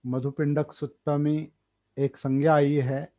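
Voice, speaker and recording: A man gives a dharma talk, thinking aloud, pausing often and repeating key words. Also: on the high side, little pitch movement, dark tone. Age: 60s. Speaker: meditation teacher. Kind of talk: slow explanatory dharma talk. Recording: dull lo-fi recording.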